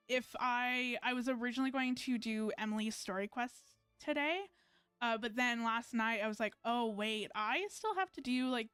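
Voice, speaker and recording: A young woman from Canada chats to her stream viewers, trailing off and restarting her sentences often. Her voice is crisp and clean, and the close mic sounds dry and free of room echo. Quiet game music plays underneath. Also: on the high side. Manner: rambling, hesitant, many fillers and restarts. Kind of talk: casual gameplay chat with viewers.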